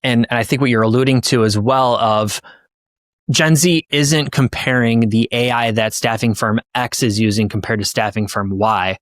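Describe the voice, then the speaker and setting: A man speaks as a podcast host in a conversational way. His pitch is average for a man and the sound is dry, free of echo. He builds his commentary emphatically, repeating key points. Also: fairly even intonation, normal speed, a bit bright.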